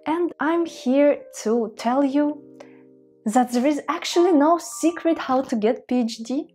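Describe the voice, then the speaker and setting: A woman's voice: mid-range voice, dark tone. Young woman, Russian accent, earnest chatty vlog, home desk, close camera, faint music behind. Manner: earnest, chatty, with small restarts in careful English. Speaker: vlogger. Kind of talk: casual talk to camera. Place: small room at a desk at home.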